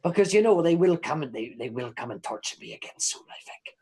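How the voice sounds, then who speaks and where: Cheeky rambling prisoner informant, played by a man, stammering, folksy, slightly high. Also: lively intonation, quick pace, a little mellow, husky. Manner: rambling, stammering, old-timey folksy phrasing. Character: imprisoned male informant Tad, chatty, cheeky, rambling.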